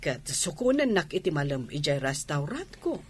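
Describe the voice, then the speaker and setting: A woman's voice: low voice, very animated, normal speed, slightly rough, exaggerated voice. An actress playing a composed, well-off businesswoman in a radio drama, with an Ilocano Filipino accent. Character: composed well-off woman restaurant owner defending her driver.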